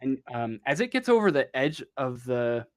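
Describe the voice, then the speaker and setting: A man running a tabletop game as game master, improvising the narration slowly and searching for his words. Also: medium pitch, very animated.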